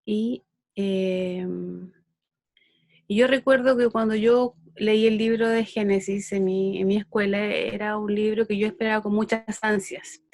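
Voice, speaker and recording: A middle-aged woman with a Chilean accent giving an online Bible lesson, unhurried and full of fillers and restarts. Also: medium pitch, dry recording, studio quality. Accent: Chilean Spanish. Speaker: Bible school teacher.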